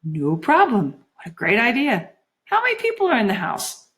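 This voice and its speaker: A woman's voice: fairly high pitch, huge pitch swings, moderate pace, a bit bright, pitched above the performer's own voice. A woman voicing a flighty, sulky teenage girl in a storybook, chatty and slangy, gushing one moment and petulant the next. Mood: dreamy, giggly.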